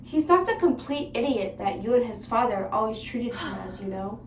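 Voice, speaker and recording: Echoey noisy room, woman acting a lovestruck former star, defensive, high voice, radio drama. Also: expressive, normal speed, far from the mic, lightly acted. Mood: earnest.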